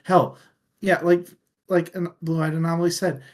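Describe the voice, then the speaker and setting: A man's voice: on the high side, little pitch movement, normal speed. A man co-hosting a book discussion show, chatting casually and enthusiastically, with the odd swear word. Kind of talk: casual book chat, reading out listener comments.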